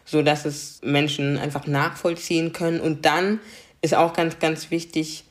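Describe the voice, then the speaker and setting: A woman's voice: low voice, level tone, unhurried. A young adult woman from Karlsruhe, a diversity coach, explaining things earnestly in a conversational interview and often adding a questioning "ne?". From Germany, Karlsruhe. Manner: earnest, explanatory, frequent "ne?" tags.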